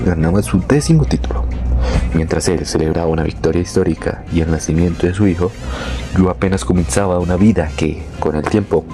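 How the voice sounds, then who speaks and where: A young man reading a scripted, reflective personal narration in a measured, literary way. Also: deep voice. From born in Venezuela, moved to Colombia. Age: young adult. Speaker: narrator of his own life story.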